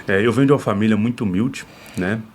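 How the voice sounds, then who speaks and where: A man's voice: lowish voice, quick pace, a little hiss or hum. A man from Brazil hosting a podcast, interviewing a guest in a chatty way, often ending sentences with tag questions. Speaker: podcast host. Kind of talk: casual interview.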